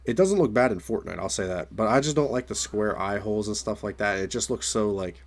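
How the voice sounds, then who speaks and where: A young man with a raspy voice from a recent illness, talking casually to his viewers in a rambling way with many fillers. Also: lowish voice, brisk. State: raspy voice from getting over illness. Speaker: gaming video creator. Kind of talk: casual solo commentary.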